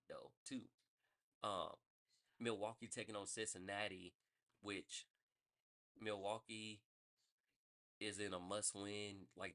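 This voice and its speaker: Casual man with a bright voice. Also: on the low side. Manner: loose, rambling, corrects himself mid-thought. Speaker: sports podcast host. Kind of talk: casual sports news rundown.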